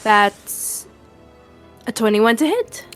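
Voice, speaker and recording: A woman's voice: on the high side, very animated, takes it slowly, faint music behind. Casual woman playing a tabletop game. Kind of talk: casual game talk with bits of in-character speech.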